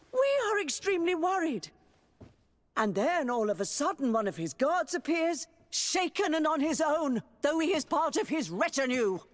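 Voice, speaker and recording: High, haughty aristocratic lady. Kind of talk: video game cutscene acting. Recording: long reverberant hall echo.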